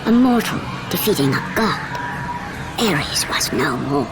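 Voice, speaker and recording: An actress as a grave storyteller, narrating an ancient myth in a video game with measured, solemn drama. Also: female voice, low-pitched, sing-song, loud music behind the voice, full character voice.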